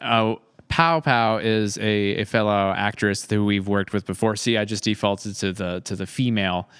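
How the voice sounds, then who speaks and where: A man's voice: on the low side, moderate pace, a bit bright, smooth. A man co-hosting a podcast, trading casual, playful banter and wisecracks. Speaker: podcast co-host.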